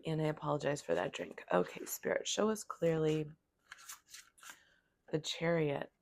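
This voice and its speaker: A woman with a hoarse voice from a cold, giving a calm, flowing spiritual tarot reading. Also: low-pitched, moderate pace, a little hiss or hum. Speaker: tarot reader.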